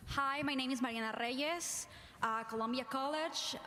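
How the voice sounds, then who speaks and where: A woman's voice: sits high, moderate pace, bright, forceful tone. A young woman from Mexico, a student, politely asking a question in a university hall. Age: young adult. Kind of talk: audience question. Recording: roomy hall with background noise.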